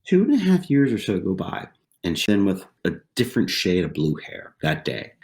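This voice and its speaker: A man's voice: sits low, huge pitch swings, normal speed, darkish tone. A man in his forties from southwestern Pennsylvania, a podcast guest telling stories in a casual, conversational way, often repeating phrases and tagging lines with "right?". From southwestern Pennsylvania, USA. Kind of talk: casual interview storytelling.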